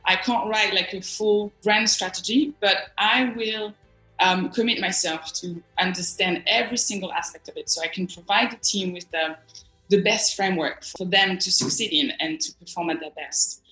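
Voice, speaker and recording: A woman's voice: mid-range voice, normal speed, pushed and bright, echoey room, faint music behind, low-quality recording. A woman from northern France with a French accent, giving earnest, reflective answers as an interview guest. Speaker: interview guest, design agency executive.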